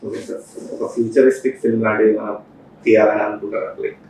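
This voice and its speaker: A man's voice: fairly low pitch, flat delivery, quick pace, a little mellow. A man asking questions conversationally as an interviewer. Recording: distant echoey mic, faint noise.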